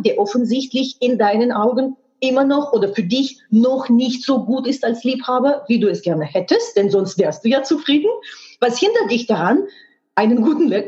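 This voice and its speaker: A woman's voice: fairly high pitch, lively intonation, moderate pace, mellow. A woman speaking a language that is not her first, a guest in a candid conversation, talking frankly and emphatically with earthy expletives and restarting as she searches for words. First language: not German. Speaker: guest, sex educator and hypnotherapist. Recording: dull, muffled audio.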